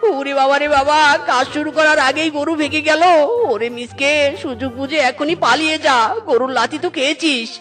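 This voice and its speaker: A woman's voice: sits high, fairly even intonation, fairly quick, a bit bright. A woman voicing a cheeky, scheming young male fox in a cartoon, wheedling and theatrical, with music underneath. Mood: panicked. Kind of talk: animated cartoon dialogue.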